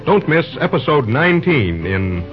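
A man's voice: a little high, very animated, moderate pace, muffled recording. A man reading a scripted announcement in the manner of an old-time radio serial announcer. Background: loud music.

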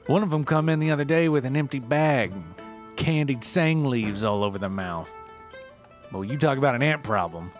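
A man voicing an aggrieved, sentimental rustic ginseng forager with an Appalachian accent and halting, folksy speech, in a narrated story. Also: medium pitch, lively intonation, faint music behind, pitched above the performer's own voice, lightly acted. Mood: frustrated. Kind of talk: mock reality-show character in a narrated story.

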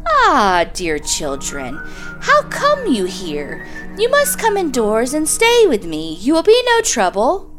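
A woman voicing a wicked old witch, spiteful and greedy, with a shrill, gloating, cackling manner. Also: wildly swooping pitch, slow pace, heavily acted. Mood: sweetly luring. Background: soft music.

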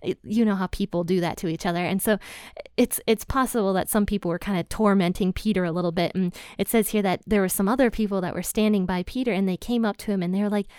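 A woman teaching scripture on her own podcast in a relaxed, talkative way, dropping in light laughs as she goes. Her voice is smooth and clear, recorded close and dry with no room sound. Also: mid-range voice, fast, no room sound. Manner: chatty and conversational, with frequent "like" and "and so"; laughs lightly between thoughts. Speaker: podcast host teaching the Bible. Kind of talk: casual Bible study podcast.

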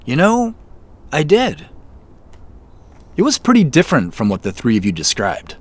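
An actor playing a curious young executive visiting a farm, talking hesitantly with nervous laughs. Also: male voice, a little high, huge pitch swings, normal speed. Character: curious, unsettled young city executive man. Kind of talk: audio drama acting. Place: outdoors on a farm at night.

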